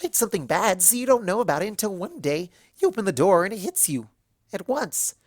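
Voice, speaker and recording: A narrator voicing a childlike, scatterbrained female cartoon pony who rambles excitably in a story reading. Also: mid-range voice, brisk, no room sound, clean studio sound, voice pushed up. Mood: rambling, rueful.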